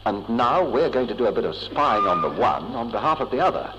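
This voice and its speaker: An earnest spaceman played by a man with a mid-pitched, very animated delivery, dramatic in the manner of old radio serials. The sound is a dull, reverberant tape. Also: a little fast, natural voice. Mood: hushed, pondering. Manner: dramatic, questioning, expressive. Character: earnest, indignant male space explorer held captive. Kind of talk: vintage science-fiction radio drama acting. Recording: muffled, hissy old tape, long reverb.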